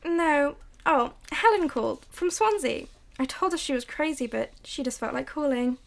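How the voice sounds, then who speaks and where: A woman's voice: high voice, lively intonation, moderate pace, creaky. A woman playing a nervous, secretive housewife and mother in a radio drama, evasive and pleading. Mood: calm. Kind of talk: student radio drama acting.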